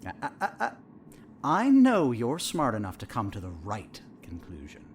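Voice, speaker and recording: Man playing a theatrical, needling therapist, swooping pitch. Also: wildly swooping pitch, moderate pace, a little hiss or hum. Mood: probing. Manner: leading questions, theatrical, insinuating. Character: probing, needling male therapist, smug and eerily all-knowing. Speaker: actor in audio drama. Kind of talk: audio fiction dialogue.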